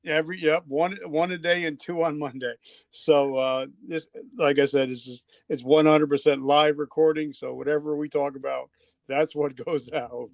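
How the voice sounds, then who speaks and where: Older man with a gravelly voice. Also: on the high side, fairly even intonation, moderate pace, dry recording. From New Jersey. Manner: casual, rambling, jokey. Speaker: podcast host. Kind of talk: unscripted podcast chat.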